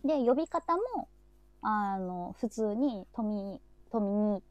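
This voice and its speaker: Mellow-voiced woman chatting casually. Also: mid-range voice, lively intonation, slow, dry recording. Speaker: host of a live chat show. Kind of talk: casual chat.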